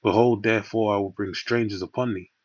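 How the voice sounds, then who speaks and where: A man's voice: on the low side, fairly even intonation, fairly quick, dull audio. A man preaching in an informal Bible-teaching style, emphatic and peppering his points with little checking tags like "all right".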